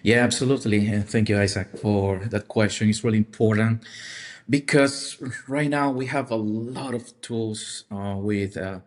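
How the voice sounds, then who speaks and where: A man speaking as a podcast guest, explaining his points haltingly and repeating words as he searches for phrasing. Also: lowish voice, steady tone. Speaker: podcast guest, marketing expert. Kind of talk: explanatory discussion.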